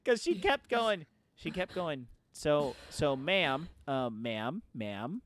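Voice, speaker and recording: A man in his thirties with a voice higher than most men's, chatting casually while livestreaming a game and telling stories with lots of laughter. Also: sing-song, slow, fairly bright tone, a little hiss or hum. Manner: laughing storyteller who acts out quoted voices. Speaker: streamer.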